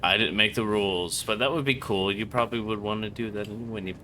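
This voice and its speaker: Male game streamer, lower register with a sharp, forceful edge. Casual, rambling, dryly joking commentary. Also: fairly even intonation, fast, slight background noise. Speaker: livestreamer.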